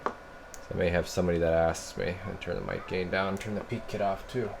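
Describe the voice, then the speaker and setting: A man's voice: sits low, fairly quick. An American man giving a casual hands-on demonstration as a radio technician. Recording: steady noise behind the voice. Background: clear background noise.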